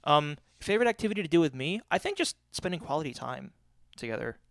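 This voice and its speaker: Animated young American man, goofy podcast chat. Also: on the high side, quick pace, gravelly, no room sound. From New York State, US. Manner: goofy, self-deprecating, rambling with tangents. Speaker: podcast host. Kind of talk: casual chat.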